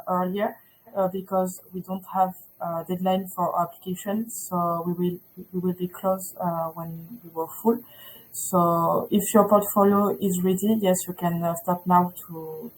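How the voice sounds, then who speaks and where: Woman relaying questions, halting. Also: fairly low pitch, little pitch movement, slight background noise, poor audio. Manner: halting, filler-heavy. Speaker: webinar organizer relaying questions. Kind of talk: webinar Q&A.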